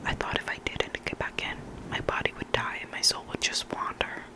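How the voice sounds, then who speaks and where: A person whispering scary stories in an ASMR reading style, close to the microphone, sometimes repeating words. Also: brisk. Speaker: ASMR reader of listener stories. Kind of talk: whispered ASMR storytelling, reading creepy anecdotes.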